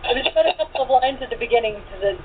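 A woman chatting casually as an interview guest, telling things in a hesitant, halting way. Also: on the high side, animated. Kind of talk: casual chat. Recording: tinny phone line, clear background noise.

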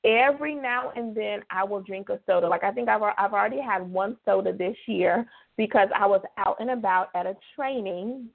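Slightly hoarse woman on a phone line. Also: mid-range voice. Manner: chatty, drawn-out emphasis on key words. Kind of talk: casual devotional radio talk. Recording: phone-line call-in radio.

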